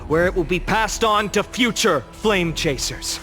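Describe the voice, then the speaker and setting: A young male guardian orator for a video game. His voice is high and swings widely as he delivers solemn, eloquent rhetoric with theatrical weight. Music plays softly underneath. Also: animated, a little slow. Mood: solemn vow. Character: impassioned young male orator, guardian demigod of a holy city. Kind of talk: dramatic theatrical oration in video game voice acting.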